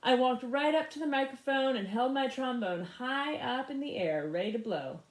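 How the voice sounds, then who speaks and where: Echoey-room woman reading a children's story. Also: high-pitched. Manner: animated, pauses to ask young listeners questions. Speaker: storybook reader. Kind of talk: expressive children's read-aloud.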